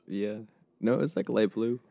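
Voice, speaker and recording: A man co-hosting a casual podcast, soft-spoken and a bit mumbly, with a laid-back delivery. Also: on the low side, a little slow, vocal fry, dry recording. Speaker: podcast co-host. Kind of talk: casual chat.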